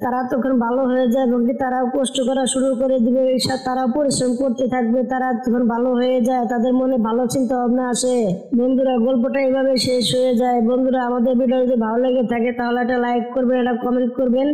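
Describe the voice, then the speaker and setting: Very high, monotone male narrator. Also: fast talker, rough recording. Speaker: narrator and channel presenter. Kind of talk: scripted story narration and sign-off.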